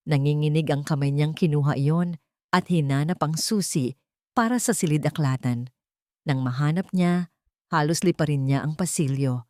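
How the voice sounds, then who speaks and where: A woman storyteller reading a scripted dramatic story with suspenseful, deliberate phrasing. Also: low-pitched, a little mellow, dry recording, studio quality.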